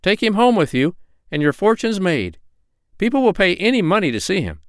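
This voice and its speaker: A man voicing a proud, excitable monkey from a storybook, proclaiming emphatically. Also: high-pitched, fairly quick, no echo, studio quality, voice pushed up. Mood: proud, excited. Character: excitable proud monkey, eagerly presenting a rare beast.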